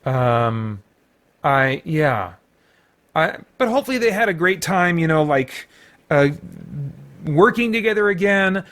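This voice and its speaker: A man's voice: a little high, very animated, unhurried, long pauses, a bit bright. A middle-aged man giving a casual, unscripted review as host, in a hesitant, rambling way with frequent backtracking.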